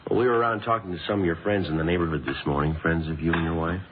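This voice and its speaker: An actor playing a dry, businesslike detective sergeant in a vintage radio police drama, clipped and deadpan. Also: male voice, deep voice, rapid-fire, background noise, close to an everyday voice. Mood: probing. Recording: muffled old-time radio recording with music.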